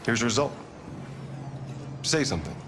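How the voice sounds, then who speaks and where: Confident male tech founder, measured game-cinematic interview delivery by a male actor, animated intonation, clear background noise. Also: mid-range voice, natural voice. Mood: confident, explanatory. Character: self-assured male tech founder giving a tour. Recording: clear ambient noise behind voice.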